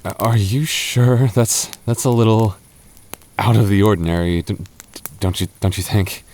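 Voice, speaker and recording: Man, young adult, very low voice, boyfriend roleplay, teasing, stammers when nervous. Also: steady tone, moderate pace, a little mellow, a little hiss or hum. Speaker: voice actor playing a goth boyfriend.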